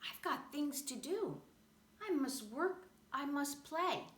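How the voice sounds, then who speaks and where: A woman's voice: high-pitched, animated, slow, pitched above the performer's own voice. A woman voicing an emphatic, resolute male hero from a children's storybook. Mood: firm. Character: worried male storybook hero, firm and polite. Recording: roomy echo, faint background noise.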